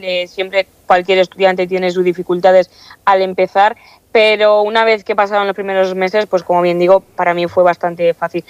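A woman's voice: mid-range voice, no room sound. A young woman from Castilla-La Mancha, a student council member, answering interview questions in a chatty way with many fillers and restarts. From Castilla-La Mancha, Spain. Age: young adult. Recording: dull lo-fi dry sound.

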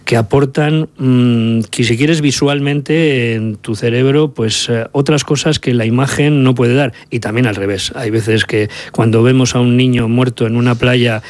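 A man's voice: mid-range voice, steady tone, unhurried, few pauses. A middle-aged male journalist with a Basque accent from Bilbao, answering interview questions in a reflective, anecdotal way at a studio microphone. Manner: reflective, anecdotal, laughs easily. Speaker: journalist guest. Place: studio at a narrow table with a chroma backdrop. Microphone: table microphone.

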